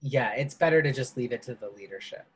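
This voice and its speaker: A person from Wisconsin speaking loudly and enunciating every word clearly in an earnest workshop discussion on a video call. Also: mid-range voice, a little fast. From Madison, Wisconsin, USA. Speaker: workshop participant.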